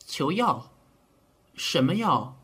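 An actor playing an earnest, caring but easily flustered physician of ancient China in a period audio drama. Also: male voice, a little high, very animated, takes it slowly, sharp tone, slightly rough, exaggerated voice. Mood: concerned.

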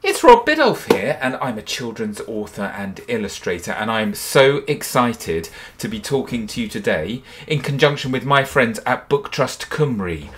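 A man's voice: mid-range voice, sing-song, moderate pace, hardly any pauses, fairly bright tone. A man in his fifties, a children's author and illustrator, cheerfully talking young children through a drawing step by step from his home studio. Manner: upbeat and encouraging, talks children through each step.

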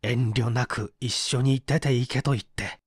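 A man's voice: mid-range voice, unhurried, breathy edge, dry recording, clean studio sound. A man reading dramatically as a bitter, despairing painter who gives testimony in a formal, confessional way. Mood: aggrieved. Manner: confessional, expressive, formal narrative delivery.

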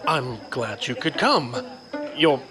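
A man's voice: mid-range voice, big pitch swings, takes it slowly. A man acting as a polite but easily cowed crooked party host in an audio drama. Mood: smooth courtesy. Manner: courteous, flustered when challenged. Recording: loud music and party crowd behind.

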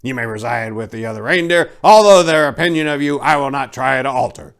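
A man's voice: mid-range voice, fairly quick, fairly bright tone, smooth, voice pushed up, lightly acted. A man voicing a stern, bossy Santa Claus who makes pompous pronouncements in a storybook reading. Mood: indignant, commanding. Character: commanding, indignant, bossy male Santa Claus.

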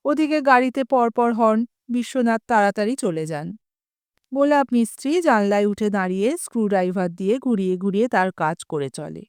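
A woman's voice: mid-range voice, fairly quick, clear, resonant tone, no echo, studio quality. A woman telling a humorous short story aloud, giving the quoted lines a little expression.

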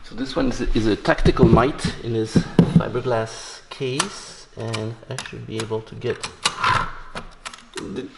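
A man's voice: medium pitch, steady tone, a little slow, vocal fry, very noisy. A man hosting a hobby electronics video, narrating a demonstration casually with playful, wry humor. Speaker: host of electronics restoration video channel.

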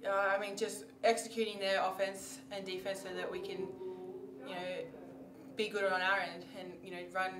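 A woman's voice: medium pitch, flat delivery, normal speed. A young woman, a college basketball player, giving modest, plain answers in a post-game interview. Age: young adult. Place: indoor press backdrop. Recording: roomy, distant, with background noise.

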